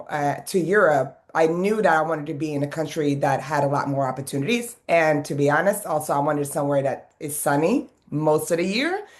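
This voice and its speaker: A woman in her fifties, raised in Sweden, chatting as a guest in an interview about her background and business. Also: deep voice. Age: 50s. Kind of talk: casual interview.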